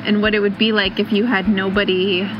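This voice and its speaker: A woman volunteer speaking thoughtfully and gently in an interview, with soft fillers between thoughts. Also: medium pitch, flat delivery, a little fast, muffled recording, over music. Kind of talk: interview reflection.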